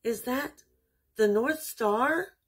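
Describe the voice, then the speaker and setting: Eager young doe reindeer for a storybook, played by a woman: earnest, wide-eyed, lifted pitch, slightly husky. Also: drawn-out words, exaggerated voice. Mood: wondering.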